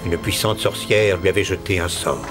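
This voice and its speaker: A man narrating a royal fairy tale for a cartoon, with expressive scripted storytelling. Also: low voice, normal speed, lightly acted. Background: music.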